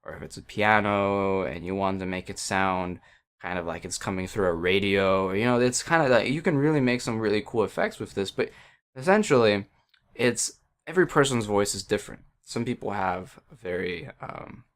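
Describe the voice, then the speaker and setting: A man's voice: on the low side, moderate pace, a bit bright, smooth. A man at his computer, speaking into a headset and explaining audio settings in a rambling, hesitant way full of fillers. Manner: hesitant, many fillers and restarts, self-deprecating. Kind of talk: casual rambling tech explanation. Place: at a computer by a wall indoors.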